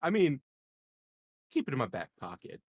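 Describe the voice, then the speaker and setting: Guy player riffing at a tabletop game, very lively, stammery, dry close mic. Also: mid-range voice, moderate pace, husky, no echo. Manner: stammering, repeats words playfully. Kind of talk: casual joking improv chat.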